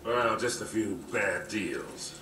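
An actor playing a gruff, wisecracking older treasure-hunting mentor in a video game, folksy and teasing. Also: male voice, fairly low pitch, very animated, slow pace, heavily acted. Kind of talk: video game cutscene acting. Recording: roomy, distant game audio with music.

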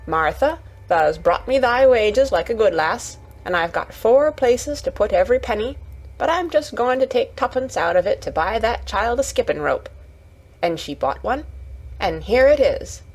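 A woman's voice: fairly high pitch, lively intonation, normal speed, rough recording. A woman voicing a cheerful young Yorkshire housemaid in a storybook, chatty and eager, with homely dialect and exclamations. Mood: eager, proud.

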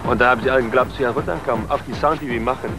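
Dutch man speaking halting German. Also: mid-range voice. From southern Netherlands. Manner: halting non-native German, animated, acts out sounds. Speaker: DJ and producer. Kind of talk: casual interview chat. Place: outdoors on a harbour waterfront. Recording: outdoor TV interview with loud music behind.

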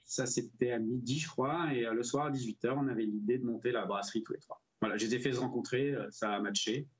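Husky man on a muffled video call. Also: medium pitch, steady tone, fast. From Brittany, France. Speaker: brewery co-founder, interview guest. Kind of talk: casual interview chat. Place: indoor room, video call. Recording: dull, lo-fi video call audio.

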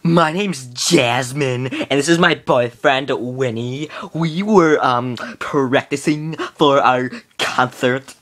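A man voicing a hesitant young woman musician who speaks in halting phrases. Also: mid-range voice, fairly bright tone.

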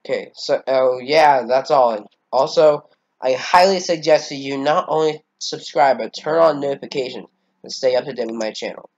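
A man's voice: medium pitch, moderate pace, a little mellow, muffled recording. A fourteen-year-old boy, congested, giving a rambling, hesitant channel update with casual swearing. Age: teens. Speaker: channel owner.

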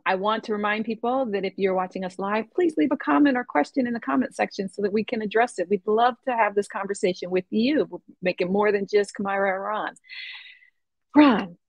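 An upbeat woman in her fifties hosts an interview show from home over a video call. She sounds expressive and quick to laugh, with a mid-range pitch. The sound is dry and close. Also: no echo. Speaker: talk show host. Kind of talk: friendly interview hosting. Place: home room.

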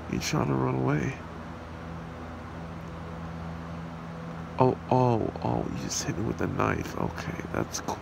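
The obsessed demon you'd meet in a homemade ASMR horror roleplay played by a man, croaking slow, smug taunts over steady hiss. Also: medium pitch. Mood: smug, menacing. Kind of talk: amateur ASMR roleplay acting. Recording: steady hiss and hum behind voice.

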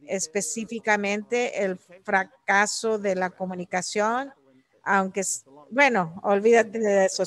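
A woman working as a live interpreter for an academic talk, delivering it in halting, separate phrases. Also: mid-range voice.

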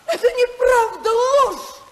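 A woman's voice: very high voice, takes it slowly, a bit bright, full character voice. An actress playing a frantic, hysterical woman crying out in desperation, in 1950s radio drama style. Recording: noisy old radio recording with clicks.

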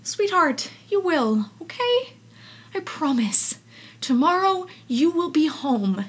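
A woman playing a flustered, protective aunt in an audio drama, speaking in an urgent, halting, reassuring way. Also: sits high, big pitch swings, full character voice. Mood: reassuring, firm. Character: flustered, protective aunt hurriedly soothing her nephews.

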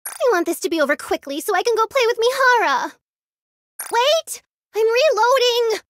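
Very high, cutesy, sadistic anime girl. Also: big pitch swings, normal speed, fairly bright tone, heavily acted. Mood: excited. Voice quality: girlish, cutesy. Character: cute, playful, sadistic young girl soldier. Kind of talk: anime-style mobile game character lines.

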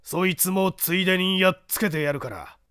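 A man voicing a bold young ringleader of a workers' gang in an audiobook, rough, commanding and threatening. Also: sits high, animated, normal speed, a bit bright, dry recording, studio quality, voice pushed up. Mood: menacing, confessing.

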